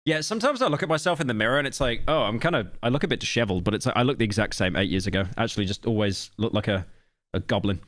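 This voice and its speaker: A man in his late twenties streaming a reaction video, talking casually and rambling, with self-deprecating asides and sudden loud outbursts. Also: mid-range voice, expressive, racing, no echo, studio quality. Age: late 20s.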